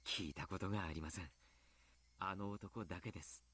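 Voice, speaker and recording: A man playing a grave, polite doctor and coach in a Japanese visual novel, speaking deliberately. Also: low voice, steady tone, sharp tone, slightly rough, heavily acted. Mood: thoughtful.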